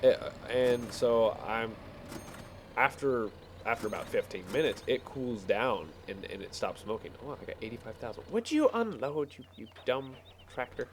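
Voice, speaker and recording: A man casually telling a rambling story while playing a game, halting and repeating words as he goes. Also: mid-range voice, animated, a little hiss or hum. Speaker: video game player telling stories.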